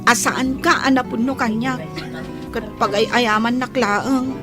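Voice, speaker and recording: A woman's voice: on the high side, fairly even intonation, measured pace, lightly acted. A woman with a Filipino accent playing a lovesick, plaintive young housemaid in a radio drama. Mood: hurt, pleading. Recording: music under the voice.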